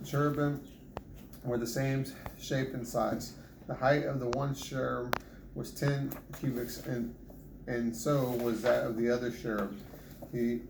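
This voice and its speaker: Guy reading the bible out loud, trips on words, echoey noisy home recording. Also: mid-range voice. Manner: stumbles and rereads words. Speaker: Bible reader. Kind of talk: reading scripture aloud.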